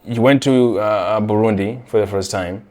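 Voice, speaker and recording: A man's voice: on the low side, moderate pace, a little mellow. A young man born in Rwanda, hosting a podcast and chatting casually, often restarting his sentences. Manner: chatty, self-correcting, frequent restarts. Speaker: podcast host. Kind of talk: casual conversation.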